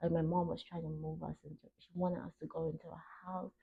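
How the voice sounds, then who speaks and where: The sound is narrow and phone-like. A young woman speaks in a fairly low, creaky voice. She hosts in a reflective, personal way, earnest and emphatic, breaking her thoughts into short phrases. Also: flat delivery, quick pace. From Cameroon. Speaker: podcast host. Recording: tinny phone-like narrow band.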